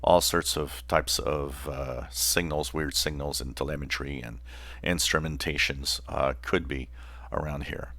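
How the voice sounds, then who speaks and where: Very deep-voiced man, bright and forceful. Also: steady tone, a little slow, no room sound. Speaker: host of a shortwave radio channel. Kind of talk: informal explanatory demonstration.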